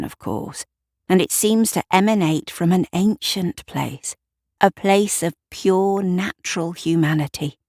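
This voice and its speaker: A woman narrating a literary audio drama in a measured storyteller's manner. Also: fairly low pitch, darkish tone, dry recording, studio quality.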